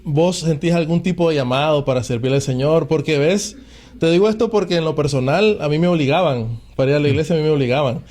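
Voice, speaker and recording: A man hosting a faith interview, chatty and quick with affirming interjections. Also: a little high, a little mellow. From Nicaragua. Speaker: host.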